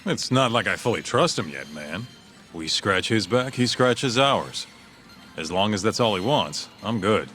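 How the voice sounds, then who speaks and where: An actor playing a gruff, streetwise but easygoing tough man in video game dialogue. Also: on the low side, animated, a little slow, fairly bright tone, soft background music.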